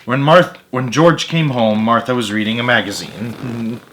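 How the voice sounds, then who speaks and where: A man's voice: mid-range voice, a little slow, slight background noise, poor audio. A man reading a story aloud expressively, dropping in muttered asides of his own. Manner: expressive, with emphatic character lines and muttered asides.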